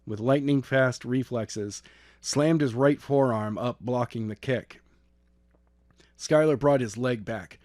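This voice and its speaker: A man's voice: medium pitch, a little mellow, dry recording, clean studio sound. A man reading an action story as an amateur narrator, stumbling and repeating phrases as he goes. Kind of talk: scripted narration of an action novel.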